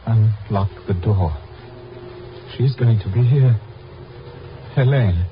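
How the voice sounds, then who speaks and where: An actor playing a tormented amnesiac man in a 1950s radio drama, speaking in a halting, dazed way. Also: on the low side, noisy background. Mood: entranced, halting. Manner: halting, dazed, trance-like delivery. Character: tormented amnesiac mentalist reliving a lost love, man. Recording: muffled old radio broadcast, music behind.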